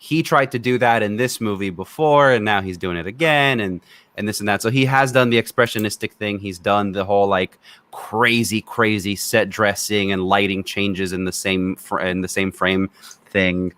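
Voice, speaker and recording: A man from Romania with a fairly deep voice, recorded very dry. He co-hosts a casual film discussion and gives his opinions bluntly, swearing freely as he goes. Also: steady tone, normal speed, fairly bright tone, clean tone, no echo. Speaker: film review podcast co-host.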